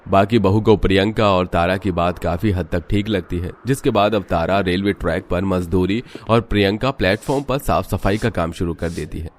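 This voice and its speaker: Casting: male narrator for cartoon tales, lowish pitch, dramatic scripted delivery. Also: normal speed, few pauses.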